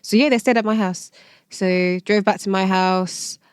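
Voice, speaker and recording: A woman's voice: mid-range voice, fairly even intonation, normal speed, clean tone, dry recording, clean studio sound. A woman from Nigeria with a Nigerian accent, telling personal stories candidly and conversationally as a podcast guest. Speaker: podcast guest, event organiser.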